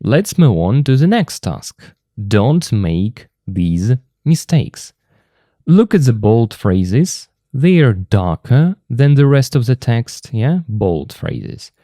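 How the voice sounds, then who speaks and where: A Russian-accented man walks learners through grammar points at an unhurried pace, repeating each key term. His voice is mellow and lively in pitch, with a dry, close sound. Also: no room sound. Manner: slow, patient, repeats key terms. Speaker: English teacher. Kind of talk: explaining grammar from a textbook.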